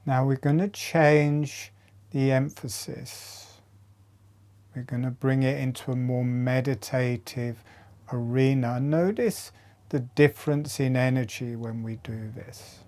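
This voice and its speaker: A man leading a guided breathing meditation as an instructor, in short, measured phrases with long pauses. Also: mid-range voice, steady tone, dark tone.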